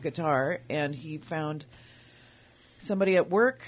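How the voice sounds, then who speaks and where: Low-voiced woman in her forties. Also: measured pace, a little hiss or hum. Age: 40s. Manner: modest, self-deprecating, chatty. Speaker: band singer, guest.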